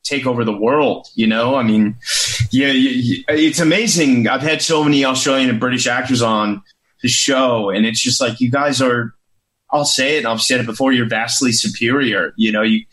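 A man's voice: medium pitch, normal speed, a bit bright, poor audio. Enthusiastic slangy male interview host. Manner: enthusiastic, slangy, lots of dude and man. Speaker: podcast host. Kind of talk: casual interview.

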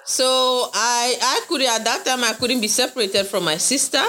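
Woman from Ghana, interview guest, earnest and bright, circles back on her points. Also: on the high side, normal speed, slight background noise, poor audio. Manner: earnest, repeats phrases, laughs often. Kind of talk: interview conversation.

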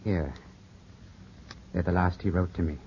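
An actor in a 1950s radio drama playing an earnest, brooding young gentleman, formal and intense in delivery. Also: low-pitched, steady tone, a little slow, slight background noise. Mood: earnest confession. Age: young adult. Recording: dull, muffled old radio recording.

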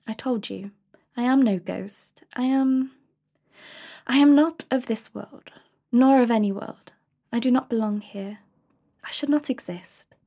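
A woman voicing a gentle, sad otherworldly young boy, speaking softly and quietly. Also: a little high, a little slow, vocal fry, pauses often. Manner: soft, quiet delivery.

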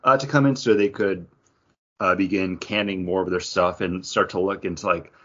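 Man, low voice, casual podcast co-host, rambling conversational delivery, dull lo-fi recording. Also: fairly even intonation, normal speed. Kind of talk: casual chat, craft beer review. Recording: dull, lo-fi audio.

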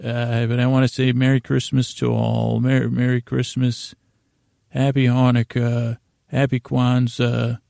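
A man hosting a podcast with a gravelly, creaky voice, rambling informally in a halting, meandering way, repeating himself and trailing off mid-thought. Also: mid-range voice, monotone, mellow, no room sound, clean studio sound. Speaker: podcast host.

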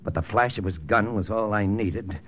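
A man's voice: on the low side, normal speed, dull audio, lightly acted. A man playing a hard-boiled American spy lieutenant, narrating terse in first person in a 1940s radio drama. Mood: tense. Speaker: narrator and lead actor. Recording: old radio recording with hiss.

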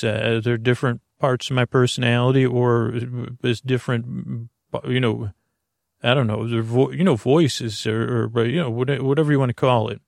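A man hosting a sleep podcast in a gentle, meandering, halting monologue full of tangents and false starts. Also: mid-range voice, gravelly, no echo, clean studio sound. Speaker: podcast host. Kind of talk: rambling bedtime monologue to help listeners sleep.

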